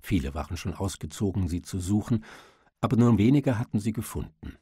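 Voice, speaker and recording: A man's voice: fairly low pitch, animated, brisk, warm tone, breathy edge. A man reading a literary audiobook as narrator, in a dramatic storytelling style.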